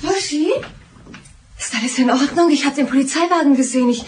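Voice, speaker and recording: An actress in a German radio drama playing an anxious, indignant wife. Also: female voice, sits high, a little fast, darkish tone, close to an everyday voice. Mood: worried. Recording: distant from microphone, faint noise.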